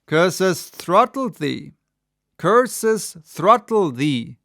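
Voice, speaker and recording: A man voicing a stern, commanding old sea captain with a gruff, imperious manner and archaic speech in an audiobook. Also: sits high, big pitch swings, very slow, pushed and bright, no room sound, studio quality, voice pushed up, full character voice. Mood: furious cursing.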